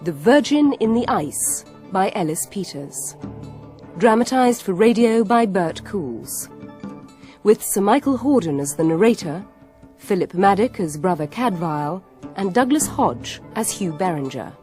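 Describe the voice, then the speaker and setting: A woman's voice: mid-range voice, animated, unhurried, darkish tone, soft background music. A woman reading a scripted announcement as a radio continuity announcer, in a formal, orderly way.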